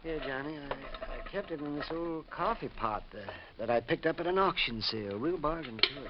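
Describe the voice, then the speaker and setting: A man's voice: on the high side, animated, moderate pace, close to an everyday voice. An actor playing a funny, meek little old man with a hesitant, rueful manner in a vintage radio detective drama. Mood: wistful, flustered. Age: older. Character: funny little old man, meek, dithering bargain-hunter turned killer. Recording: muffled, noisy old radio recording.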